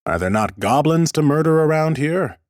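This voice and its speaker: A man's voice: on the high side, animated, moderate pace, a little mellow, no room sound, clean studio sound, pitched above the performer's own voice, lightly acted. A man voicing an ancient, mighty lich in a fantasy audiobook, bookish and courteous, with a formal, pompous manner. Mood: polite, curious. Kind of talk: audiobook character acting.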